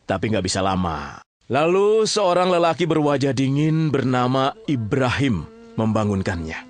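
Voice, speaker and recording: A man's voice: medium pitch, big pitch swings, normal speed. A man narrating a story in a conversational, colloquial way, often checking in with his listeners. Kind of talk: conversational storytelling in an audio drama.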